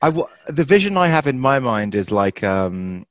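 A man hosting a casual conversation, asking relaxed, curious questions. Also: mid-range voice, moderate pace, dry recording.

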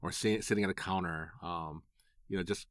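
A middle-aged man from Hawaii, a food writer, talking in a relaxed, conversational way with lots of fillers. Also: deep voice, brisk, no room sound, clean studio sound. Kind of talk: interview commentary.

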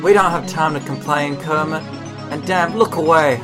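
A man's voice: a little high, steady tone, moderate pace, over music, close to an everyday voice. A man playing an earnest, scolding young marine in an audio drama, with a dry, exclamatory delivery.

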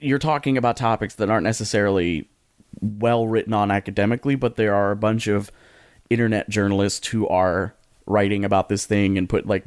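A man's voice: fairly low pitch, clean tone, no echo, studio quality. A man co-hosting a podcast, giving casual advice in a rambling, jokey way and often interrupting himself. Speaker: podcast co-host.

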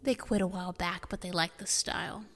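An actress playing the detective's wisecracking assistant in an audio drama. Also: female voice, on the low side, fairly even intonation, brisk, fairly bright tone. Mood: teasing. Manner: teasing, sardonic interjections. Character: cheeky teasing sidekick assistant.

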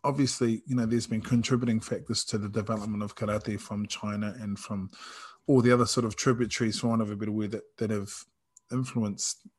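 Kiwi guy chatting, lowish voice, thoughtful, kinda halting, rewords stuff as he goes. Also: steady tone, darkish tone, husky. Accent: New Zealand. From New Zealand. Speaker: karate practitioner in discussion. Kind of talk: casual conversation.

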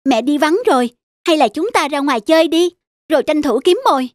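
An actor voicing a young, excitable and boastful big brother mynah bird in a children's cartoon. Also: high-pitched, brisk, no room sound, studio quality. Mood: eager. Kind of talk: children's animated fairy tale dialogue. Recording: music behind the voice.

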